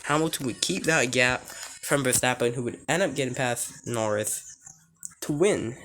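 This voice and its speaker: A man with a hoarse, nearly lost voice, giving an excitable, rambling casual reaction to a race. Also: medium pitch, unhurried, a little hiss or hum, low-quality recording. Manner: excitable and rambling, many restarts and "like"s. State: hoarse, voice almost gone. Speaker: racing fan reviewing a race.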